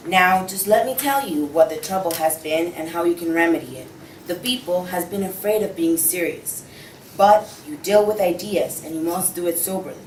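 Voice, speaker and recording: A woman's voice: lowish voice, moderate pace, a little mellow, lightly acted. Solemn, earnest woman in an echoey room, acted. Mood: earnest, admonishing. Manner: solemn, lecturing. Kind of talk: student table read of a stage play. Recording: distant echoey room, faint noise.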